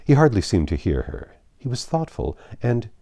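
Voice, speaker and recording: A man reading literary narration as an audiobook narrator, in an expressive and measured manner. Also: mid-range voice, no room sound, clean studio sound.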